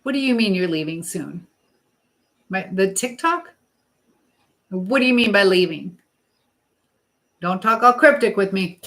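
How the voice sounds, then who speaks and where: A middle-aged woman hosting a casual live chat, reading out messages and giving motherly advice in a rambling, halting way. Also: mid-range voice, lively intonation, a bit bright. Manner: motherly, advising. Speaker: live stream host.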